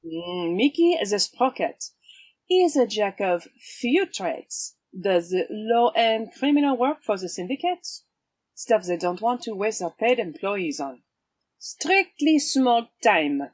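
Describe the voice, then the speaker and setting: A woman's voice: medium pitch, big pitch swings, slow pace, darkish tone, no room sound. A woman playing a cool, wry swashbuckling vigilante with a sly, teasing, theatrical manner in an audio drama. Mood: cool, menacing.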